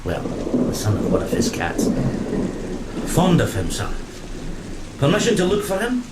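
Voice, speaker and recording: An actor playing a meek, earnest, anxious sailor who speaks hesitantly and deferentially in a gothic horror audio drama. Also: male voice, sits high, huge pitch swings, unhurried, exaggerated voice. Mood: anxious, pleading. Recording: rain and thunder noise behind.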